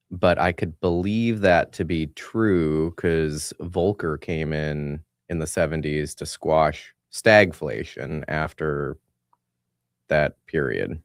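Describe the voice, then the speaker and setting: A man's voice: extremely low pitch, takes it slowly, no room sound, clean studio sound. A man on a casual finance panel, laid-back and wry. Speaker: co-host.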